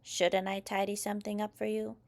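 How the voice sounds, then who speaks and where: A woman's voice: mid-range voice, little pitch movement, a little fast, close to an everyday voice. A woman voicing a dad playing a dutiful, overdramatic male robot in a storybook, with robotic, declamatory delivery. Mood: obedient.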